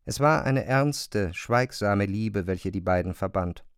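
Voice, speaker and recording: A man's voice: on the low side, takes it slowly, clear, resonant tone, no echo, studio quality. A man reading literary narration for a radio play in pronunciation.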